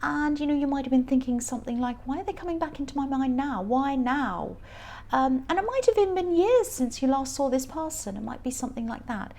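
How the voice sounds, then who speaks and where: A woman with a croaky voice giving a casual, rambling tarot reading, often laughing as she talks. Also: sits high, animated, fairly quick, darkish tone. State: croaky voice from stress. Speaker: tarot reader.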